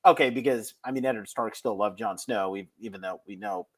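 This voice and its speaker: A man hosting a podcast chat, working through his thoughts in a halting, roundabout way with emphatic words. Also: on the low side. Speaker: podcast host. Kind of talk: casual chat.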